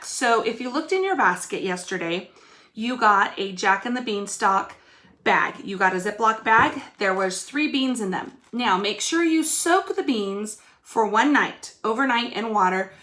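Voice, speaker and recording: A woman's voice: medium pitch, fairly bright tone. A woman teacher giving young pupils an informal video lesson, explaining step by step and addressing them directly. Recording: echoey room.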